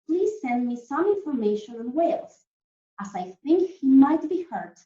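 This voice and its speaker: A woman teacher reading a story to young children in a deliberate, animated way, often putting questions to them. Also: high voice. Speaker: primary school teacher reading a story to pupils. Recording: roomy, dull lo-fi sound.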